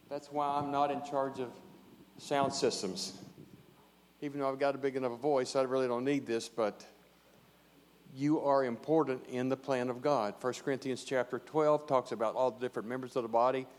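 A man's voice: medium pitch, steady tone, moderate pace, long pauses, a little mellow. A man, a church elder giving a short, plainspoken, joking sermon into a handheld microphone. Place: church sanctuary. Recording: long reverb of a church hall.

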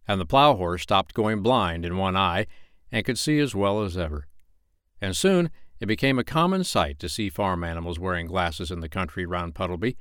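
A man narrating a children's bedtime story as an audiobook reader, in an expressive, measured storytelling manner. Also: low voice, no echo, clean studio sound.

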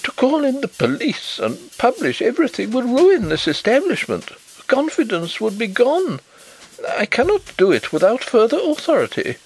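A man's voice: wildly swooping pitch, moderate pace, breathy edge, soft background music, heavily acted, low-quality recording. A man voicing a fussy, anxious male bank manager who stammers and frets, in a read-aloud story. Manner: agitated, stammering, high-strung.

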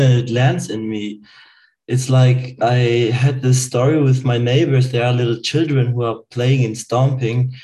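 A man in a group session sharing a personal experience haltingly, searching for his words. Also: medium pitch, warm tone, far from the mic, low-quality recording. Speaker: workshop participant.

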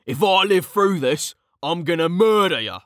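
A narrator voicing a grumpy, battle-weary male officer, gruff and exasperated, in a fantasy audiobook. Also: medium pitch, slow pace, fairly bright tone, no echo, clean studio sound, voice pushed up, exaggerated voice. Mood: furious.

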